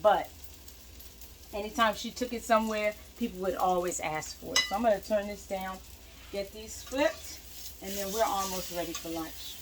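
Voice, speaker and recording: A woman's voice: medium pitch, moderate pace, background noise. A young woman, a cooking vlogger, chatting casually as she fries food in her home kitchen and moves around the stove.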